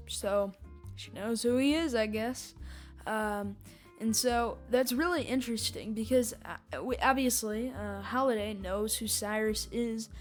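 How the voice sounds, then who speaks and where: A woman hosting a casual fan review, giving recap and reaction commentary. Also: a little high. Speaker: reviewer and superfan host. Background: music.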